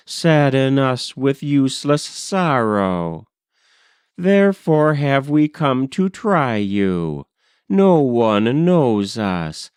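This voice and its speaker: A man voicing gentle, mournful ghosts of the dead in a grave, incantatory verse recitation. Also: medium pitch, clean tone, dry recording, studio quality. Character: gentle, mournful ghosts of the departed, solemnly counseling.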